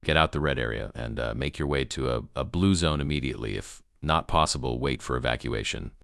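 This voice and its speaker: A man playing a grave emergency news broadcaster in an amateur apocalypse broadcast, gravelly, solemn and halting in his delivery. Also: a bass voice, a bit bright, no echo, studio quality, full character voice. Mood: somber. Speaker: news anchor.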